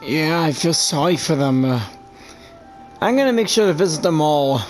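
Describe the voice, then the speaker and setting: A man's voice: a little high, lively intonation, moderate pace. A man giving casual, playful let's play commentary, reacting to game dialogue. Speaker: gamer showing a story quest. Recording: commentary over faint game music.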